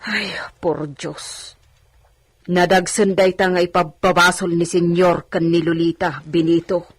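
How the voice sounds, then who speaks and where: A woman's voice: on the low side, fairly even intonation, unhurried, no echo. A woman playing an anxious, persistent older village servant in a radio drama, earnest and coaxing. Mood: anxious, urging.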